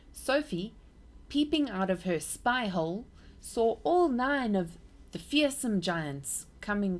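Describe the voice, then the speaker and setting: Woman reading a bedtime story to her nieces, very lively up and down voice. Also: medium pitch. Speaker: aunt reading a book aloud. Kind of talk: storybook read-aloud.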